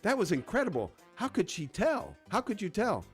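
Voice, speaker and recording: A man's voice: a little high, huge pitch swings, normal speed, soft background music. A man voicing a happy, vain woman of fifty in a spoken joke on the radio. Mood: amazed.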